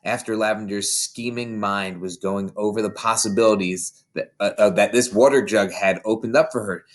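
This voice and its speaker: A man reading a children's story aloud in an expressive way, like an audiobook narrator. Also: fairly low pitch, normal speed. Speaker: story reader.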